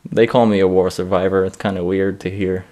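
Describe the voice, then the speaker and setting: A young man from Iraq talks in a studio interview about his stand-up work. His voice sits fairly low, and his tone is thoughtful and unhurried. He chuckles now and then as he speaks. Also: little pitch movement, a little mellow. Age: young adult. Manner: reflective, laughs as he talks. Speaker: stand-up comedian. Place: studio against a plain grey backdrop.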